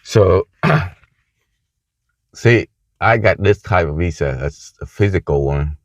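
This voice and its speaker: The recording is a little dull and muffled, made indoors. A man with a low voice and a Vietnamese accent talks informally to camera. He explains things haltingly, like a casual travel vlogger. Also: animated. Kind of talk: casual talking-head video. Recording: muffled, echoey room sound.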